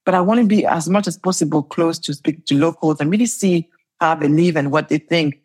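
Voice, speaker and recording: Husky-voiced woman telling lively stories. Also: deep voice, brisk. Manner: animated storytelling, laughs often, repeats words. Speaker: entrepreneur guest. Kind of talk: conversational interview.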